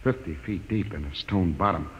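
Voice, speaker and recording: A man's voice: sits low, normal speed, lo-fi sound, heavy background noise, lightly acted. An actor playing a blunt, slangy spaceship crewman in an old science fiction radio drama. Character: plainspoken, excitable male spaceship crewman. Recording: reverberant old radio recording.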